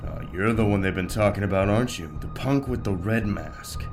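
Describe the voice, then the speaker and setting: A man's voice: on the low side, brisk, music in the background, lightly acted. Man playing an aggressive, sneering mobster thug. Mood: wary sneer. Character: hostile male mobster thug, crude and menacing. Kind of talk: audio drama acting.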